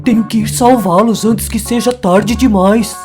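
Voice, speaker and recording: A woman voicing an anxious, well-meaning rescuer in a children's cartoon, muttering and exclaiming in drawn-out words, with music behind. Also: a little high, fairly even intonation, close to an everyday voice. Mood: worried.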